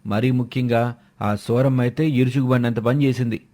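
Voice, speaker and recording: A man's voice: mid-range voice, flat delivery, fairly quick, warm tone, close to an everyday voice. A man playing a greedy, defensive village donkey-milk seller and husband in a fairy tale. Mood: grumbling. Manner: defensive, complaining. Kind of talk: acted dialogue in a moral fairy tale.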